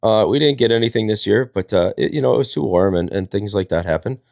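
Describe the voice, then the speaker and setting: A man's voice: on the low side, quick pace, gravelly, darkish tone, dry recording, studio quality. A man, telling casual comedic stories in a rambling, folksy, jokey way as a livestream host. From Wisconsin, United States.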